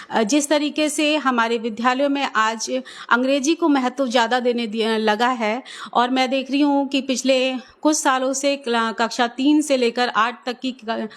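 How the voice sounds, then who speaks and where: A middle-aged woman with a high voice speaks as a schoolteacher guest. She answers earnestly and persuasively, pausing now and then on fillers. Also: a bit bright. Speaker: government school teacher, guest.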